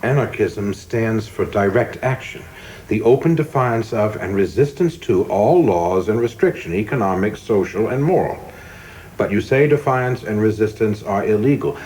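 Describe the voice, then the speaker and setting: An actor playing a coldly zealous young government official in a radio play, speaking formally and insistently with polite deference that cuts. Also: male voice, fairly low pitch, expressive, moderate pace, a little mellow, faint music behind, lightly acted. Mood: coldly argumentative. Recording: clearly noisy background ambience.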